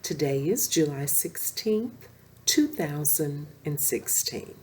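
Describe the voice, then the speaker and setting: A woman's voice: low-pitched, animated, slow, a little mellow. A woman hosting a story time, reading aloud expressively for young children.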